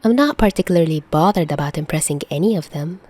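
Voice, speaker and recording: A woman's voice: low-pitched, lively intonation, fast, a little mellow. A woman playing a teasing, nonchalant young man in a story, with a playfully dramatic manner.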